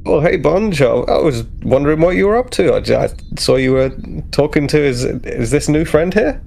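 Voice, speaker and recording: A man's voice: a little high, expressive, normal speed, creaky, a little mellow, slight background noise, voice pushed up. A man playing a friendly, slightly awkward male starship officer in a tabletop game, speaking hesitantly and politely.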